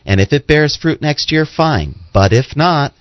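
A man co-hosting a religious radio show, reading scripted text in a deliberate, expressive way. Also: fairly high pitch, dry recording. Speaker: radio co-host and scripture reader. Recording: dull lo-fi radio audio.

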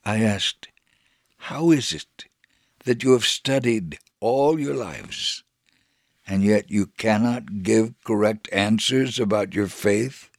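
A man reading a scripted first-person religious narrative in a measured, solemn, dramatic way. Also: fairly low pitch, no echo, studio quality. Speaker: storyteller on a religious radio program.

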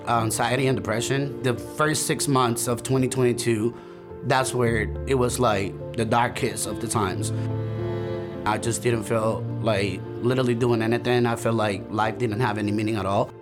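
Earnest young man from El Salvador. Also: mid-range voice. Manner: earnest, halting, many fillers. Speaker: church member giving testimony. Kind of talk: personal testimony, recorded interview. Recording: music bed under voice.

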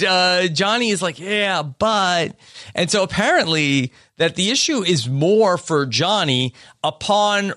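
A man's voice: a little high, bright, forceful tone, no echo, studio quality. A man co-hosting a casual podcast, recapping a reality show with hesitant, filler-heavy speech. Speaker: podcast co-host.

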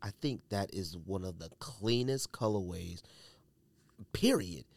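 Male podcast host, lowish voice, slangy and emphatic, casual opinion talk, close dry sound. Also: animated, a little slow, gravelly, a little mellow, no echo.

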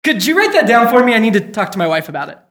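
An excitable, playful performer bantering in a live comedy show, recorded in an echoey room. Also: mid-range voice, very fast.

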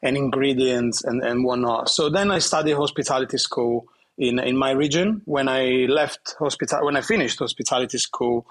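A man from southern Italy speaking English with an Italian accent as an interview guest, talking in a halting way with many restarts and small laughs. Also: mid-range voice, a bit bright, slightly rough. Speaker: guest bartender. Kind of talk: casual interview chat.

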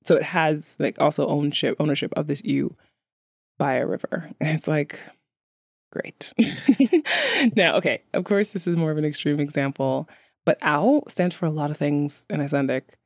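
A woman's voice: low-pitched, lively intonation, normal speed, dry recording, studio quality. A woman who is a native English speaker, chatting casually to viewers as a vlogger in a wry, candid, self-deprecating way.

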